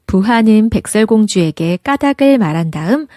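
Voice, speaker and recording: A woman's voice: medium pitch, expressive, normal speed, dark tone, no echo, studio quality. A woman narrating a children's fairy tale, reading aloud expressively as a storybook narrator.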